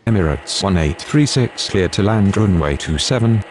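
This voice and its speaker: A man's voice: low voice, lively intonation, normal speed, a little mellow, slight background noise. A man voicing a formal, businesslike airline pilot or controller, using scripted radio phraseology in a game.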